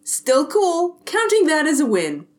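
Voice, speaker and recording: Boyish wisecracking cop, acted by a woman: very high, animated pitch, playful and keen, audio drama. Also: a little slow, exaggerated voice. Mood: excited. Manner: playful, enthusiastic. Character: boyish, excitable, wisecracking male police detective.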